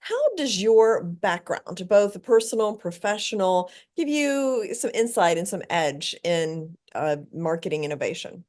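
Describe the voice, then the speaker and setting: Smooth woman interview host. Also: mid-range voice, very animated, unhurried, hardly any pauses, a little mellow. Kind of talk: interview questions.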